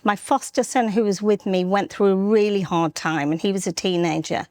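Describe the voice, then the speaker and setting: A middle-aged woman from North Wales, an estate agency director, telling her life story as an interview guest in an earnest, halting way with many restarts. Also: mid-range voice, steady tone, darkish tone, no room sound. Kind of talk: candid personal storytelling.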